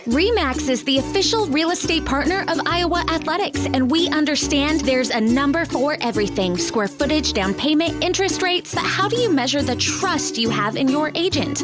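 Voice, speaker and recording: High-pitched American woman over loud music. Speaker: advert voice-over. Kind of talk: scripted radio advert narration. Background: prominent music.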